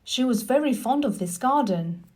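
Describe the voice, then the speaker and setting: A woman's voice: high-pitched, expressive, normal speed, darkish tone, voice pushed up. A woman reading a children's storybook, voicing a blunt but loyal, tender-hearted old gardener with emphatic delivery. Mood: fond, loyal.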